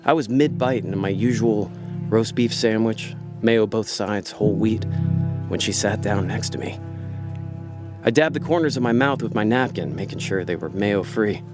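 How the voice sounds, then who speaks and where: A man's voice: lowish voice, moderate pace, natural voice. An actor playing a laid-back, cynical con man in a hardboiled noir radio play, with a wry, slangy, world-weary delivery. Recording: radio drama with music underneath. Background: prominent background music.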